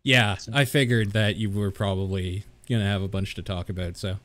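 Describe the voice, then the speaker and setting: A man's voice: on the low side, steady tone, brisk, a bit bright, no echo. A man co-hosting a casual podcast, laid-back and plainspoken, with short replies and a bit of swearing. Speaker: podcast co-host. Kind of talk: casual chat.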